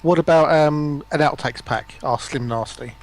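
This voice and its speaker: A man's voice: a little high, moderate pace. A man co-hosting a stream, casually putting questions and short remarks to the guests. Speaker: stream co-host reading questions. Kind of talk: casual chat.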